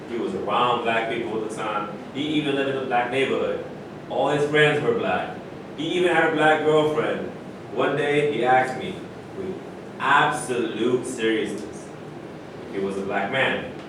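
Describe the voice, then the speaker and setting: A man in a staged play reading, playing a candid, opinionated basketball legend with deliberate, emphatic pauses. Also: lightly acted. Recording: very echoey distant room, rumbling noise.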